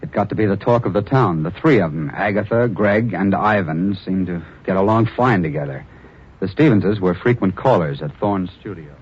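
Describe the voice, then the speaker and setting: A deep-voiced police reporter. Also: male voice, moderate pace, slightly rough. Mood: steady. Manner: steady storytelling narration. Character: young male police reporter narrating the case. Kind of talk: 1940s radio acting. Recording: dull lo-fi old radio recording.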